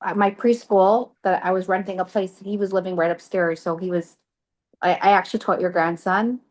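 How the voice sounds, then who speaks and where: A woman's voice: mid-range voice, fairly even intonation, moderate pace. A woman interviewing a guest, chatty and enthusiastic in casual conversation.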